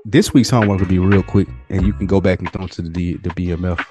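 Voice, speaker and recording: Low-voiced man chatting over music. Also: steady tone, fast. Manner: informal, slangy, repeats phrases for emphasis. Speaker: podcast co-host. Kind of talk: casual conversation. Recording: music behind the voice.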